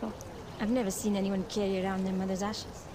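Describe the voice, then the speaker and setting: A woman's voice: medium pitch, little pitch movement, quick pace, noisy background, natural voice. An actress playing an earnest, guarded young woman rebel leader in a video game.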